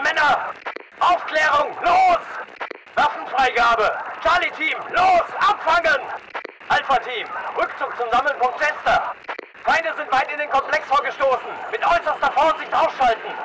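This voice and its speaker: An actor playing a hardened, disciplined and aggressive private military soldier in a video game, giving clipped, urgent battle commands and reports. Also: male voice, very high-pitched. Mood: focused, commanding. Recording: distant-sounding, heavy noise behind.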